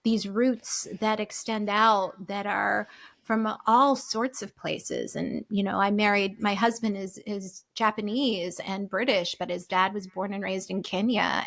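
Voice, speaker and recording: Woman, forties, from the US, podcast guest, animated, reflective, gravelly edges, medium pitch. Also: slow. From United States. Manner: animated, many fillers and restarts. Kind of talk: reflective conversational interview.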